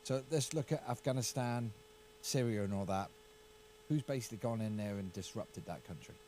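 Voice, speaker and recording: A middle-aged English man with an English accent, a county councillor talking through a political discussion in a halting way, repeating words and hedging. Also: on the low side, dark tone, slight background noise.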